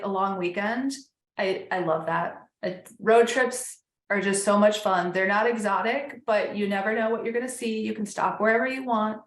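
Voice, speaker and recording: An echoey room surrounds this woman's voice. She speaks as a podcast host in casual interview chat. Her pitch sits in a middle range for a woman.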